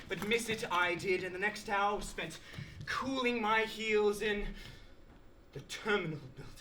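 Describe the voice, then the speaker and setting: A man's voice: high voice, bright, forceful tone. A teenage male student actor with an Australian accent playing a pompous, aggrieved academic lecturer in a theatrical stage monologue, with florid, oratorical delivery. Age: teens. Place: indoor school drama showcase, filmed performance. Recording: roomy echoing stage, faint noise, clicks.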